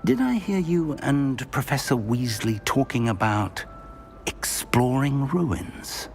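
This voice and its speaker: A wise old British professor. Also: male voice, medium pitch, animated, creaky, a little hiss or hum. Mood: instructive. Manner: measured, formal, kindly instructive. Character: older male professor, wise and reassuring mentor. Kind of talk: video game voice acting.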